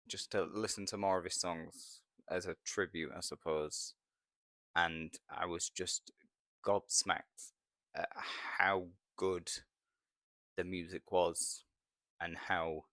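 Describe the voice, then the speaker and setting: Low, flat-voiced Yorkshire man. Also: no room sound, clean studio sound. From England. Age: 30s. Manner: hesitant, self-conscious, quiet and flat. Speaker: vlogger. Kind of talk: nervous first vlog to camera. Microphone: small microphone.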